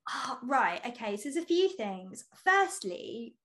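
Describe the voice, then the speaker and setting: A woman's voice: a little high, big pitch swings, unhurried, fairly bright tone. A woman co-hosting a podcast, chatting casually and expressively with a friend. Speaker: podcast co-host. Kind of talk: casual chat between friends.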